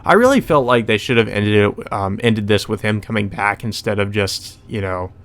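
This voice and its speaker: A man's voice: fairly low pitch, lively intonation, normal speed, fairly bright tone. A man giving casual, hesitant, musing commentary as he hosts a playthrough of a visual novel. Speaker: let's play host. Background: music.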